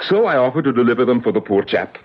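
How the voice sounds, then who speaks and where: Fussy self-important gentleman, lively swooping pitch, formal, indignant, old radio play. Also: very fast. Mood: put-out. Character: fussy, self-important man named Maximilian, indignant at delays. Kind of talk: 1970s radio drama acting. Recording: dull, lo-fi old radio recording.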